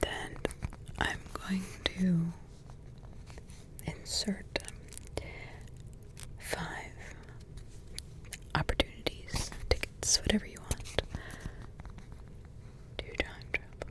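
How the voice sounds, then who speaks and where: A woman voicing a protective witch grandmother in an ASMR roleplay, in a soft whisper with slow, rambling, repetitive asides. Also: fairly low pitch, steady tone, poor audio. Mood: hushed, caring. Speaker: ASMR creator.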